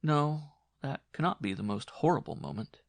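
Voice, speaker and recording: A man's voice: medium pitch, big pitch swings, moderate pace, creaky, dry recording, voice pushed up. A man playing a brooding, morbidly fascinated narrator in a horror audiobook monologue, intense and musing. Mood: tense musing. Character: brooding man fascinated by his own suicide. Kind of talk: dramatic first-person horror monologue.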